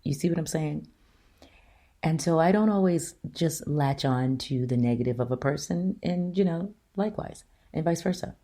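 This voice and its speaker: Deep-voiced woman hosting her talk show. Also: moderate pace, warm tone. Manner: earnest, emphatic, rhetorical questions to listeners. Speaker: show host. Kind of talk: solo opinion talk.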